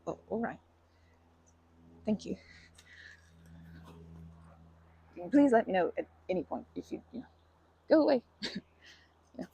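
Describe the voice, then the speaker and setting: A performer voicing a timid, anxious, kind park-goer in an ASMR roleplay, halting and over-apologetic, with a breathy, creaky voice. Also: mid-range voice, sing-song, dark tone, exaggerated voice. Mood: nervous, apologetic. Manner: halting, stammering, over-apologetic, nervous laughter. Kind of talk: ASMR roleplay, improvised-sounding monologue to listener.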